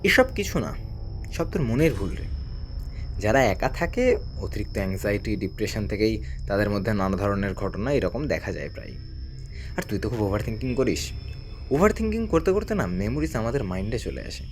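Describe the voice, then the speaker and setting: Man playing protective older brother, psychiatrist, low-pitched, very animated, admonishing, audio drama. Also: normal speed, soft background music. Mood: lecturing. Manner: emphatic, admonishing, explaining at length.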